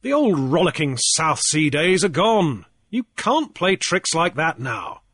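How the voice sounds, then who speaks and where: A man's voice: fairly high pitch, sing-song, unhurried, fairly bright tone, slightly rough, no echo, clean studio sound, pitched above the performer's own voice, full character voice. A man voicing a stern, impatient male sea trader who speaks curtly. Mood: firm.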